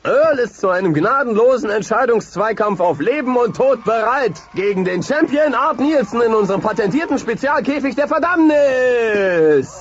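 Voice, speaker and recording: High-pitched, slick game show host. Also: male voice, lively intonation, slight background noise. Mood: gloating, suspenseful. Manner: bombastic, showy announcing with drawn-out suspense. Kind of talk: cartoonish game show hosting in a dubbed audio play. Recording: dull lo-fi tape with music behind.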